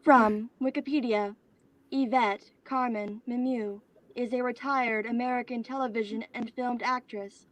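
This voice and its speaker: Woman acting as artificial assistant, formal, precise, obliging, fairly high voice, measured informational delivery, stage play. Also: fairly even intonation, close to an everyday voice, rough recording. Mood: formal, factual. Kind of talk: virtual staged play reading.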